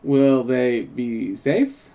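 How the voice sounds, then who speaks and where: Man reading as a mellow, inquisitive visiting harpy woman. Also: medium pitch, animated, drawn-out words, pitched below the performer's own voice. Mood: hesitant, polite. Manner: polite, gently probing. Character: polite, inquisitive visiting female harpy investigator. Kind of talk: script table read, in character.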